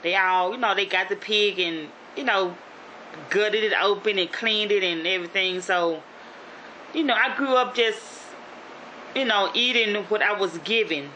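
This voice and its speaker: A woman with a Southern Louisiana accent talks to her viewers in a chatty, rambling way, full of fillers and restarts. Her voice is bright and forward. A faint hiss of noise sits under the recording. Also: mid-range voice, a little slow, low-quality recording. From Shreveport, North Louisiana. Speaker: YouTube vlogger. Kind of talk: casual motivational vlog.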